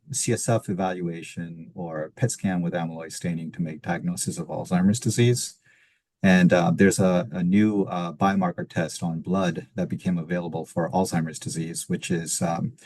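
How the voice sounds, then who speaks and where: A male physician on a video call, asking and answering questions in a measured, explanatory way. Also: low-pitched, darkish tone, no echo. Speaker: physician, faculty discussant. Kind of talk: webinar Q&A.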